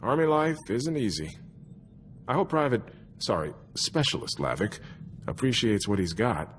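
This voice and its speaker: An actor playing an easygoing, chatty and wry young army soldier in a video game, speaking in a musing, conversational way. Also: male voice, mid-range voice, very animated, normal speed, slight background noise, lightly acted. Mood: wry, reminiscing. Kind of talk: video game cutscene dialogue.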